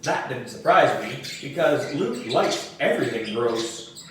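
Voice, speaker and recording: A man reading a children's story aloud in an expressive, animated and deliberate style. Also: mid-range voice. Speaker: book reader. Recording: very echoey room, distant mic, faint noise.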